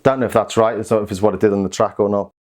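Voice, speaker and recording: A man's voice: lowish voice, fairly even intonation, very fast. A man giving a casual product demonstration, informal and understated, thinking aloud as he goes. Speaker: synth reviewer and demonstrator.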